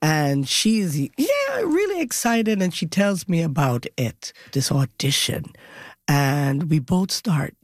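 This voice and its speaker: Slow-spoken woman with a Jamaican lilt. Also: sits low, dry recording, studio quality. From Montreal, Canada. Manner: lively storyteller, acts out quotes, self-corrects names. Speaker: guest. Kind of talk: casual storytelling interview.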